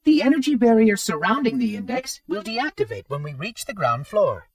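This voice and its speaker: A man's voice: high-pitched, very animated, normal speed, no room sound, recorded from a distance. A man voicing a prissy, formal machine caretaker in a video game, pedantic and theatrical. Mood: officious. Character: prissy, cheerful floating machine caretaker with fussy officious temper. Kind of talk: video game cutscene acting.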